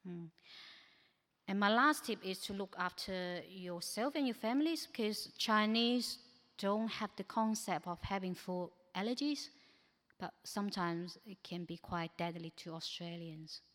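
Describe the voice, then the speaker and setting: A woman's voice: medium pitch, measured pace, a bit bright. A woman whose first language is not English gives a practical, advice-giving presentation with slides at a church ministry training, with frequent hesitations. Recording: echoey hall with long reverb.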